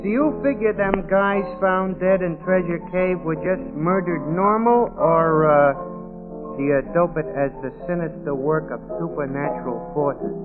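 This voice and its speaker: An actor playing a naive, chatty working-class bartender with slangy, ungrammatical, earnest speech in an old radio drama. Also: male voice, on the high side, a little slow, lightly acted. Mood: curious, earnest. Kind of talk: 1940s radio drama acting. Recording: tinny, band-limited old radio recording with music.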